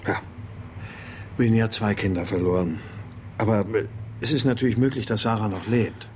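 A man's voice: lowish voice, normal speed, darkish tone, slight background noise, close to an everyday voice. An actor playing a subdued, grieving father, terse and hesitant, in radio drama style. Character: subdued, grieving father searching for his missing daughter.

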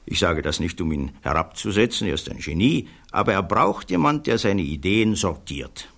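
An actor playing a thoughtful physicist giving measured, earnest testimony in a German radio play. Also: male voice, low voice, sing-song, creaky, fairly bright tone, full character voice. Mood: candid, composed. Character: thoughtful male physicist witness, earnest, troubled by conscience.

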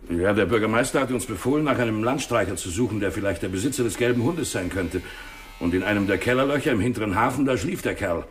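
A man acts a dutiful small-town policeman in an old radio play. He talks quickly in a low voice, plainly and eagerly, as if reporting to his superior. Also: slight background noise, close to an everyday voice. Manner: plain-spoken, keen to tell. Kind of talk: 1960s German radio drama acting.

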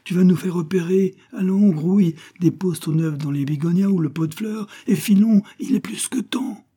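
A man's voice: medium pitch, sing-song, moderate pace, mellow, husky. A man voicing a cunning little rooster who grumbles and hurries his companion along, in a storybook reading. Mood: urgent grumbling. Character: bossy quick-witted little rooster.

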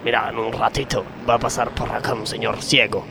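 A man's voice: mid-range voice, level tone, fairly quick, creaky, background noise. A man with an Argentine accent playing an earnest, scheming factory worker in a comedy radio sketch.

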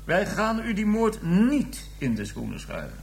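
An actor playing a confident, sardonic police detective, formal and needling, in a radio drama. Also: male voice, high-pitched, huge pitch swings, measured pace, vocal fry, slight background noise, full character voice. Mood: sardonic, assured. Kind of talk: radio drama acting, interrogation scene.

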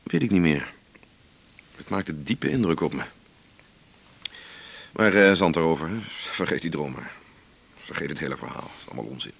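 An actor playing a teasing, evasive romantic who muses wistfully, in a naturalistic radio drama. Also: male voice, extremely low pitch, moderate pace, creaky, long pauses, fairly bright tone, breathy edge, heavily acted. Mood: dismissive.

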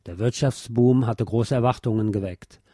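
Male documentary podcast narrator: soft-edged mellow voice, measured and factual scripted read, very dry recording. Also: medium pitch, husky, dry recording. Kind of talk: scripted narration, reading a history book aloud.

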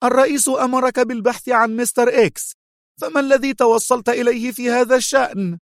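Guy doing a high voiced bossy woman official, on edge, snapping, audiobook story, dry sound. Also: steady tone, fast talker, dry recording. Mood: stern, angry. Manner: sharp, commanding, tense. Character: stern, shrill, nervy female national security adviser.

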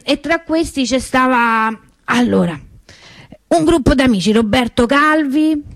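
Actress as feisty, high-voiced Roman woman. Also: dry recording. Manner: colloquial, indignant, chatty, confiding asides to listeners. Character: feisty working-class Roman woman swindled of her savings. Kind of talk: theatrical monologue.

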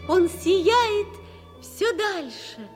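An actress playing a gentle, mysterious young phantom woman in an audio drama, speaking solemnly in measured, poetic phrases. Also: very high-pitched, big pitch swings, exaggerated voice. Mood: dreamy, yearning. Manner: solemn, measured, with drawn-out pauses. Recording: long cavernous reverb under music.

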